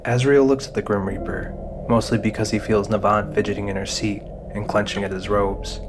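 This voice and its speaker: A man's voice: lowish voice, flat delivery, fairly quick, noisy background. A man narrating a scripted fantasy audio drama in the role of storyteller. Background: music under the narration.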